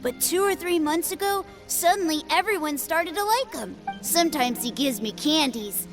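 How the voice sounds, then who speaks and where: A woman's voice: high-pitched, animated, normal speed, music in the background. Eager little boy. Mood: chatty. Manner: eager and boastful. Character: young boy playing a sword master, eager and boastful. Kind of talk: video game voice acting.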